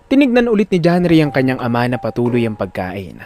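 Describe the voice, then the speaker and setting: A man's voice: mid-range voice, wildly swooping pitch, a little fast, mellow, faint music behind. A man narrating a scripted audio drama in a dramatic storytelling style.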